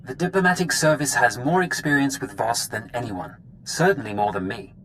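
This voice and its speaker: A man's voice: mid-range voice, fairly quick, fairly bright tone, a little hiss or hum, lightly acted, rough recording. A man playing a blunt, dismissive intelligence officer in a video game briefing, dry and faintly disdainful. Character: blunt, dismissive male Imperial intelligence watcher.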